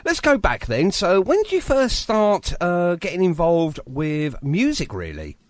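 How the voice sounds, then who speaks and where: Animated man hosting a live radio show. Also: high voice, a little slow, no room sound. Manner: chatty, jokey.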